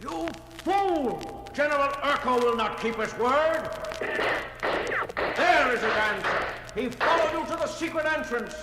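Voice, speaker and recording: An actor playing a proud, fervent leader of a primitive mountain people, declaiming like a preacher in a 1970s record drama. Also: male voice, extremely high pitch, unhurried, very noisy. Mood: indignant, commanding. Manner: declamatory, solemn, preacher-like oratory. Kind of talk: 1970s children's record audio drama acting. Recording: echoey cavernous hall reverb, music behind.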